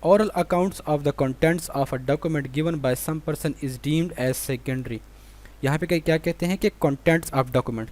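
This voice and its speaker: Male lecturer with a Pakistani accent and a slightly high voice. He reads out exam items, then explains each one, often asking himself a question and answering it. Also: darkish tone. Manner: explanatory, repeats points with rhetorical questions. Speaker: law lecturer.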